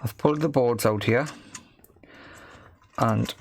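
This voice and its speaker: Male, unhurried and slightly gravelly, tentative amateur fixer talking through a repair, faint noise and clicks behind. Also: mid-range voice. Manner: tentative, self-deprecating, muttering to himself. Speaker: amateur repair video maker. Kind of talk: casual hands-on repair commentary, thinking aloud.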